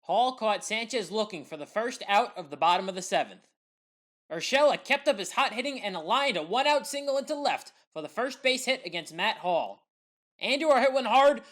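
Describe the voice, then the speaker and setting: A woman with an American accent delivering scripted play-by-play recap commentary as a sports highlights narrator.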